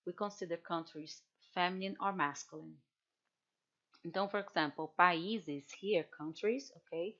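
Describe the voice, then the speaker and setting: A Brazilian woman who speaks English with a Brazilian Portuguese accent, teaching in an unhurried, measured way. Her voice sits a little low for a woman. She patiently repeats example words on dull, lo-fi audio. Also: pushed and bright. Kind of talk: online language workshop.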